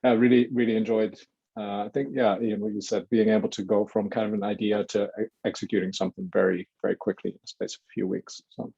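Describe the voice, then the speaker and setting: Man from Lebanon, low mellow voice, hesitant and halting, lo-fi webinar audio. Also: level tone, vocal fry, breathy edge. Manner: halting, many fillers and restarts. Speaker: guest, data science evangelist. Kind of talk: hesitant casual chat.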